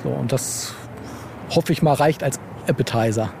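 A man's voice: medium pitch, normal speed, gravelly, noisy background. A man speaking as an interview guest, a novelist chatting casually and enthusiastically in a rambling way. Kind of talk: casual chat. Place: outdoors on a beer bench.

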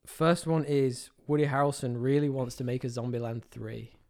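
A man co-hosting a podcast, sounding a little nasal and blocked up. He chats easily and laughs often, at a middling pitch. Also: normal speed, a little mellow. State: stuffy nose. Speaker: podcast co-host. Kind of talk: casual chat.

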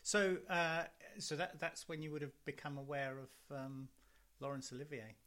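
A man's voice: fairly high pitch, steady tone, moderate pace, sharp tone. A man interviewing someone in a casual, tentative and appreciative way.

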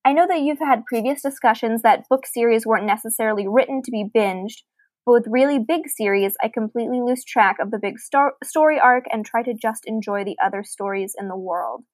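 A woman's voice: fairly high pitch, brisk, no room sound. A woman co-hosting a podcast, reading aloud and chatting about books in an articulate, conversational way. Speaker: podcast co-host. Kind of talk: reading listener email aloud and casual book discussion.

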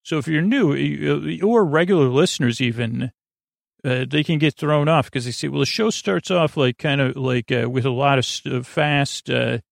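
A man hosting a sleep podcast in a goofy, meandering monologue, halting and trailing off mid-thought. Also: on the high side, creaky, dry recording, studio quality. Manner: goofy, whimsical. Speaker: podcast host.

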